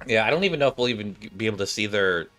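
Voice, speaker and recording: A man's voice: lowish voice, lively intonation, racing, bright, forceful tone. A man giving casual live game commentary, hesitant and repeating words as he muses. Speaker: game streamer reacting to cutscenes. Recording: game music behind the voice.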